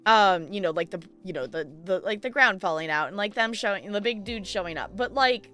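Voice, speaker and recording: A woman's voice: mid-range voice, brisk, pushed and bright, soft background music. A woman chatting casually on a livestream in a playful, giggly, rambling way. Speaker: live game streamer chatting with viewers.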